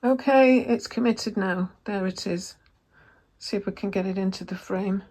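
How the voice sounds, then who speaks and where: A woman with a croaky voice, chatting casually through her sewing work and correcting herself as she goes. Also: mid-range voice, normal speed, a little mellow. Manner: chatty, self-correcting, thinks aloud while working. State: croaky voice, coughing. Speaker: sewing vlogger. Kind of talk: casual sewing vlog narration.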